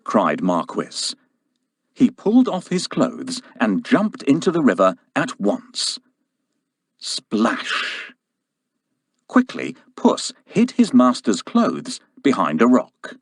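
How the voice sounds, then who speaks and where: A man narrating a children's story from a script in an expressive, deliberate way. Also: medium pitch, breathy edge, no echo, studio quality.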